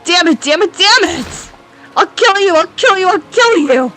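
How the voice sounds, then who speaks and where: A woman's voice: extremely high pitch, animated, normal speed, bright, forceful tone, soft background music, heavily acted. A woman voicing a grief-crazed teenage girl, shrieking and sobbing in repetitions. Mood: frantic rage.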